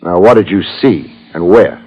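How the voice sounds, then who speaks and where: Casting: male gumshoe, sardonic and dry, fairly deep, fifties radio detective drama, muffled recording. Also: expressive, normal speed, husky, exaggerated voice. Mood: dry, probing. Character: wry, cool, wisecracking male private detective. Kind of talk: 1950s radio detective acting, with first-person narration.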